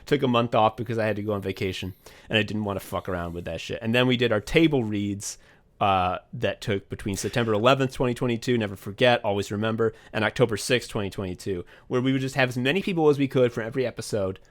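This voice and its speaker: A man co-hosting a podcast and casually looking back on writing and directing an audio drama, rambling, swearing often and leaning on "like" with quick bursts of excitement. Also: fairly low pitch.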